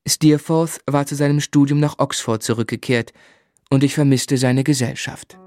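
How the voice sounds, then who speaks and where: A man's voice: mid-range voice, steady tone, moderate pace, a little mellow, dry recording, clean studio sound, close to an everyday voice. An actor playing an earnest young man who tells his life in articulate literary German radio drama style. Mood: reflective.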